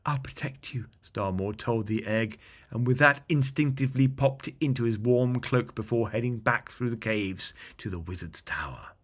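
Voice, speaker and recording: A man narrating a scripted fantasy bedtime story as a storyteller, with dramatic, deliberate phrasing. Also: medium pitch.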